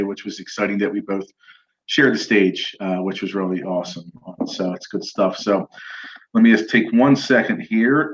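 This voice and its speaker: A man's voice: fairly low pitch, fairly bright tone. Upbeat man host, lo-fi stream audio. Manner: upbeat, chatty, thanks viewers and asks them to share. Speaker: show host, recruiter. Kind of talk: casual live-stream interview.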